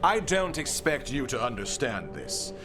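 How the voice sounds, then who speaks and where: An actor playing a scheming, self-righteous city governor in a video game, bitter and theatrical in a measured, sarcastic way. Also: male voice, mid-range voice, huge pitch swings. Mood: bitter, accusing. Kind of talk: dramatic video game voice acting. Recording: music behind the voice.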